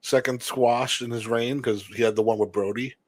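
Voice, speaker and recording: A man's voice: mid-range voice, fairly even intonation, normal speed, darkish tone, dry recording. A man co-hosting a podcast, giving casual, opinionated commentary on wrestling. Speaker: podcast co-host.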